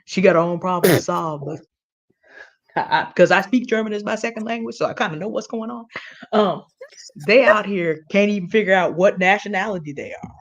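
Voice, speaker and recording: A woman's voice: mid-range voice, a little fast, noisy background. A woman co-hosting an online talk, giving informal commentary straight to viewers, emphatic and confiding, with repeated words for effect. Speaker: online show co-host. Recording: dull, lo-fi audio.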